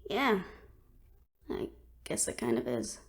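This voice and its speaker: A woman playing a composed, protective fantasy adventurer in an audio roleplay, easygoing, teasing and soft-spoken, with a creaky voice. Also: a bass voice, sing-song, slow pace, dry recording, studio quality, exaggerated voice. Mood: uneasy.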